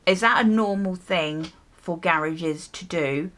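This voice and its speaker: A British woman with a slightly low voice tells a personal story at an unhurried pace. She talks casually, like a vlogger chatting while getting ready. Her telling is exasperated and sweary, with dialogue acted out as she goes. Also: fairly bright tone, a little hiss or hum.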